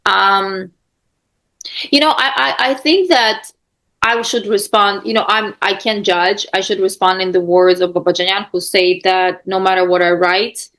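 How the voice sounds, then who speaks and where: A woman musician answering questions in a spontaneous, thoughtful way, halting and repeating phrases for emphasis as she explains. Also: medium pitch, fairly even intonation, a bit bright. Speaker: musician and guest lecturer on a composer.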